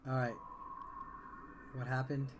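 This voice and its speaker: A man's voice: medium pitch, flat delivery, slow, background noise. A man doing casual, offhand gameplay commentary and reading game text aloud, with game music behind him. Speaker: game reviewer and video host.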